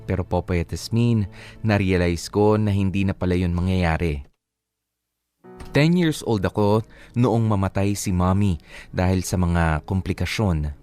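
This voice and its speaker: A Filipino man with a fairly low voice reads a personal letter as dramatic radio narration. Soft guitar music plays behind him. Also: a little slow, pauses often, smooth.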